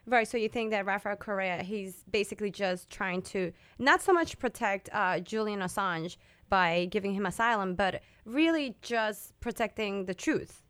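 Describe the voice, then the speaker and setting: A woman's voice: medium pitch, lively intonation, normal speed, fairly bright tone, clean tone, dry recording, clean studio sound. A woman from Venezuela interviewing a guest, asking curious, conversational questions.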